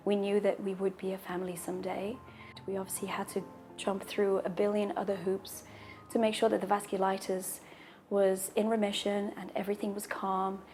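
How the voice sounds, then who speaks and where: A woman in her thirties, a native Portuguese speaker, telling her personal story in a calm, measured way, with a husky voice and deep breaths between sentences. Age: 30s. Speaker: interviewee sharing a personal story. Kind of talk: personal testimonial.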